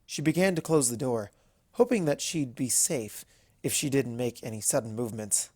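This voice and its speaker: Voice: mid-range voice, moderate pace, warm tone, no room sound, clean studio sound. A narrator reading a scripted story expressively.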